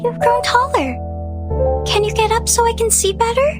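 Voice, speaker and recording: A woman playing a gentle, lonely spirit of the woods, narrating a scripted drama wistfully and deliberately. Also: very high voice, over loud music. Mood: tender, curious.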